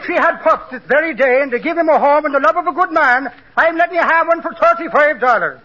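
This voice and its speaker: A man's voice: very high voice, quick pace, bright, forceful tone. An actor playing a blustering, sweet-talking and pushy Irish policeman with theatrical blarney, in a 1940s radio comedy. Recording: dull vintage 1940s radio recording.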